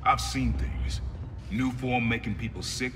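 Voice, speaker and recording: A cornered male criminal from a video game, voiced by a man, speaking tensely as he bargains. His voice sits at an average pitch. Music plays loudly under a long reverb. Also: a little slow, natural voice. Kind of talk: video game cutscene acting. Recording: game audio with long reverb and loud score.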